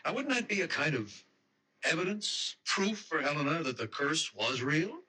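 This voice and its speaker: Bright-voiced, sceptical young man in radio drama. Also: fairly high pitch, animated, normal speed, slightly rough, full character voice, poor audio. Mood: speculating, intent. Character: young man, sceptical, speculating fiancé on the run.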